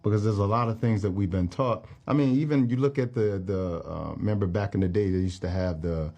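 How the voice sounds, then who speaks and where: A man's voice: on the low side, fairly quick, dark tone. A man speaking as a health author in an interview, explaining and checking that the listener follows. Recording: muffled clip played back.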